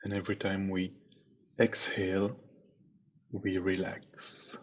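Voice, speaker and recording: A man leading a guided meditation as a teacher, speaking in a calm, measured way with long pauses between phrases. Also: sits low. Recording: dull, muffled online meeting audio.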